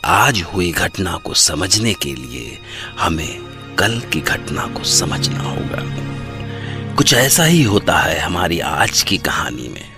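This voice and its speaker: Deep, slow, ominous horror host played by a man. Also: very animated, over music, exaggerated voice. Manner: deliberate, suspenseful. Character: ominous doctor introducing a horror tale. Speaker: story presenter. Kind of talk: dramatic scripted horror narration.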